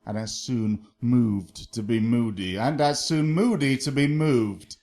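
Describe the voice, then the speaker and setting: A man's voice: mid-range voice, slow, gravelly, darkish tone. An actor playing a hot-headed, mocking young swordsman in a Shakespearean radio drama, with theatrical, taunting delivery. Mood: mocking, defiant.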